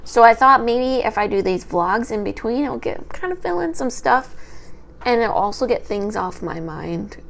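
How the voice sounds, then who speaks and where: A woman's voice: a little high, lively intonation, normal speed, vocal fry, a little hiss or hum. A young woman, a history student, talking through an unedited personal vlog into a small microphone. She has a small, light voice and rambles, tripping over her words. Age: young adult. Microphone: small handheld microphone.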